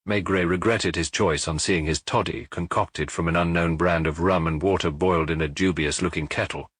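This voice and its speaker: A man's voice: deep voice, steady tone, normal speed, fairly bright tone, husky, no echo. A man reading a detective story as scripted literary narration for an audiobook.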